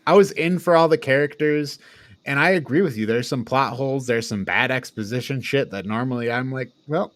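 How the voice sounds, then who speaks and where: A man's voice: medium pitch, a little fast, no room sound. A man co-hosting a casual film review chat, informal and given to swearing and laughing. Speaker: podcast co-host.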